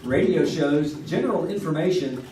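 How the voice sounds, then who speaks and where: A man speaking as a game show announcer in a polite, formal, measured way. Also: fairly high pitch, lively intonation, dark tone, far from the mic. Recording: noisy, echoey stage recording from afar.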